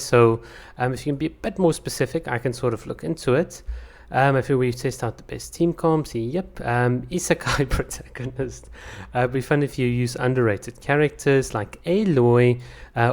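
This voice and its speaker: A man's voice: mid-range voice, a little mellow. Chatty man reading viewer comments. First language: not English. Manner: chatty, many fillers and restarts. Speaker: channel host. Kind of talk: casual comment-reading chat.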